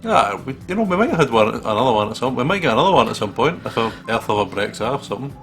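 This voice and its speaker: A man's voice: mid-range voice, very animated, fast talker, a bit bright. A man co-hosting a gaming video in casual, joking banter and reading a visual novel aloud. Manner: joking, teasing. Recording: music playing behind the voice. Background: video game music.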